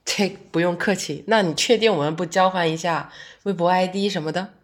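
Working woman acted by a woman, lowish voice, young adult, friendly n reflective theatre fan, natural audio drama chat. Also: fairly quick, lightly acted.

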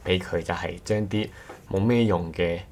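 A man's voice: sits low, normal speed, a little mellow, slight background noise. A man with a Cantonese accent co-hosting a podcast, telling stories casually and often restarting his phrases. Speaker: podcast co-host. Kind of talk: casual anecdotal chat.

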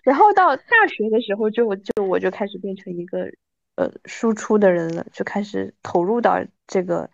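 A woman's voice: mid-range voice, expressive, moderate pace, vocal fry, no echo. Dull-sounding woman in casual podcast chat. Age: 30s. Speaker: podcast guest.

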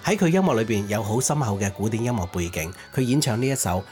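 A man's voice: on the low side, lively intonation, fast talker, a little mellow. A man co-hosting a radio music show, giving conversational commentary on pop music history.

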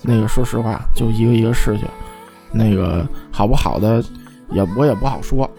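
A man's voice: on the low side, moderate pace, creaky, over music. A man co-hosting a podcast in casual chat, blunt and joking, often stammering over repeated words. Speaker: podcast co-host.

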